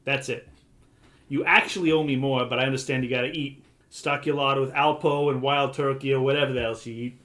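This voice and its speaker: A man's voice: mid-range voice, steady tone, fairly quick, a bit bright, natural voice. A man playing a blunt, dismissive jazz club owner in an audio drama. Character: curt, hard-nosed male jazz club owner. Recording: clear background ambience noise.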